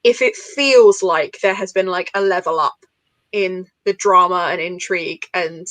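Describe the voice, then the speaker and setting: A woman's voice: on the low side, unhurried, a bit bright, no echo. A woman in a casual book discussion, talking informally and analytically as she thinks aloud. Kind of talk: casual live book chat.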